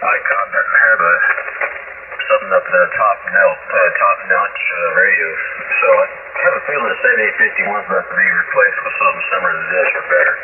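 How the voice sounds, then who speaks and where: Man, low voice, casual ham chat, distant shortwave signal buried in loud noise. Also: a little fast, phone-quality sound. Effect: shortwave radio transmission. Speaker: amateur radio operator. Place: over a ham radio link. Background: loud radio static.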